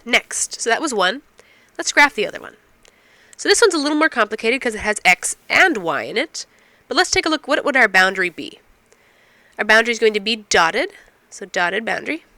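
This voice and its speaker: A woman's voice: fairly high pitch, big pitch swings, a little fast, sharp tone, no echo. A woman instructor explaining math step by step, asking and answering her own questions, while getting over a cold. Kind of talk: recorded math lecture.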